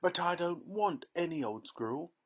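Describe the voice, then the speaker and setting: A man voicing a spoiled little girl who shouts her demands. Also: fairly high pitch, moderate pace, slightly rough, voice pushed up. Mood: demanding.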